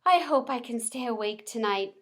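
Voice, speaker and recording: A woman's voice: fairly high pitch, expressive, moderate pace, voice pushed up, natural voice. A woman voicing a timid, sleepy little female pet animal in a storybook, chatty and unsure.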